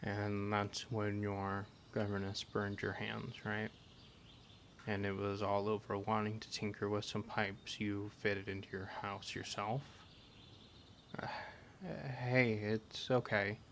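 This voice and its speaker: A man playing a caring, teasing adventurer who comforts a party member, in a gentle, halting, conversational amateur audio roleplay. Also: lowish voice, level tone, a little hiss or hum. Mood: gentle, concerned.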